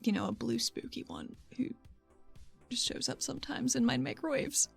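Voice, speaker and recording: A woman's voice: high-pitched, moderate pace, creaky, husky, soft background music, voice pushed up, exaggerated voice. A woman voicing a wry, nervy young female regulator in a tabletop game, in a casual, confiding way.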